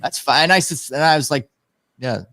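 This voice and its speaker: A man with a deep voice chatting as a musician guest on a livestream, laid-back and self-deprecating. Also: normal speed, sharp tone, no room sound. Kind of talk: casual chat with singing practice.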